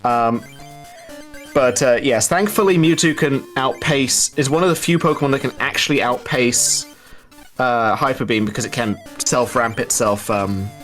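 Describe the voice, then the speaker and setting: A man's voice: mid-range voice, normal speed, fairly bright tone, faint music behind. A man commentating a live gaming race, explaining things hesitantly with dry, understated humour.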